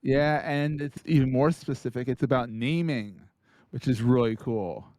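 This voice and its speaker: A man's voice: medium pitch, moderate pace, dark tone, dry recording, clean studio sound. A man hosting a podcast, chatting casually and upbeat with his guest. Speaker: podcast host. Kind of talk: casual interview chat.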